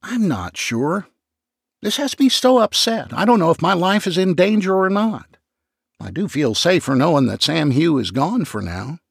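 A man's voice: a little high, big pitch swings, normal speed, breathy edge, dry recording, studio quality, pitched above the performer's own voice, heavily acted. A man voicing a nervous, tearful man who pleads and nearly sobs as he fears for his job. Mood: tearful pleading. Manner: pleading, whiny, on the verge of sobbing.

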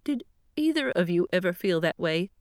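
A woman voicing a grieving older widow who speaks quickly and earnestly through tears. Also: on the low side, big pitch swings, a little mellow, no echo, clean studio sound. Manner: quick, earnest, tearful questioning.

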